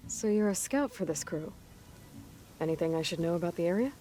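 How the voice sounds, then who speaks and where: A woman's voice: lowish voice, animated, fairly quick, dark tone, soft background music, natural voice. An actress playing a determined young female adventurer in a video game, speaking in a direct, questioning manner. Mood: curious, businesslike. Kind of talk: video game character acting.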